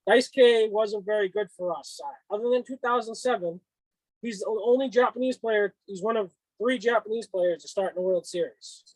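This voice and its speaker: High-pitched man with a Boston accent. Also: moderate pace, no room sound, poor audio. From Boston, northeastern US. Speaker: co-host of a cap and card show. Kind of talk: casual sports chat.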